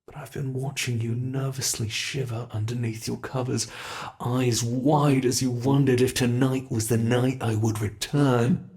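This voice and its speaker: A British man doing a gravelly taunting bed monster. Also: mid-range voice, flat delivery, darkish tone, natural voice. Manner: slow, taunting, menacing, drifting into whispers. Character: sadistic male monster that hunts from under the bed. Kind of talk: ASMR horror roleplay.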